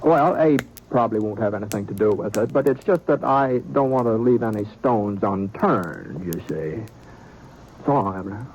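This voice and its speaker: A man's voice: fairly low pitch, normal speed, very noisy, lightly acted. An actor playing an easygoing drifting gunman who narrates, with a folksy, hesitant drawl in an old radio western. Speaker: narrator and lead. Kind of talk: 1950s radio drama acting.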